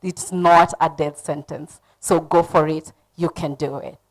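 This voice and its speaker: A woman's voice: low-pitched, fairly even intonation, measured pace, rough recording. A woman with a Kenyan accent speaking as a panelist at a conference, giving direct, earnest advice.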